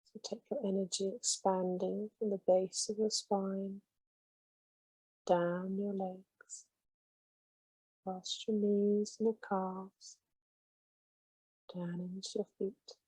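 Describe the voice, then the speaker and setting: Slow, soft-spoken woman guiding meditation. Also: medium pitch, level tone, husky, dry recording, low-quality recording. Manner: slow, soothing, gentle instructions. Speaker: meditation guide. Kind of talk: guided meditation.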